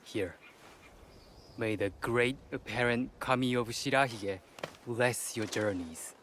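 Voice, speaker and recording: An actor playing a gentle, reverent shrine attendant in a video game, soft-spoken and ceremonial. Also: male voice, medium pitch, slow pace, a little hiss or hum. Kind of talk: video game cutscene acting.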